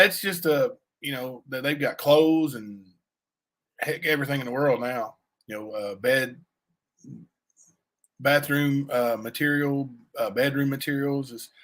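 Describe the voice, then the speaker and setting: A man with a raspy voice, chatting loosely on a livestream and answering viewers, full of fillers and asides. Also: mid-range voice, unhurried, fairly bright tone. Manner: rambling, many fillers and asides to chat. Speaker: livestream cohost; youth basketball coach. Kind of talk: casual livestream chat.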